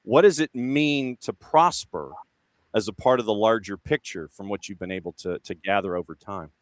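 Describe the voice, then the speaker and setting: A man's voice: fairly low pitch, moderate pace, no room sound. An American man hosting a casual sports interview, rambling into his questions in a folksy way. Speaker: sports show host.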